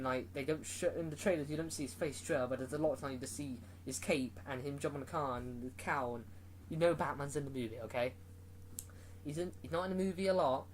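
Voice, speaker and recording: A man's voice: on the high side, slight background noise, poor audio. Rambling man reviewing a movie. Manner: rambling, filler-heavy, restarts often. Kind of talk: casual spoken review.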